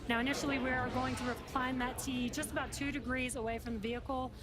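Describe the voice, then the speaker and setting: A woman with a slightly high voice gives live commentary. She explains things conversationally as a co-presenter, with music clearly audible behind her. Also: little pitch movement, fast. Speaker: launch broadcast co-commentator.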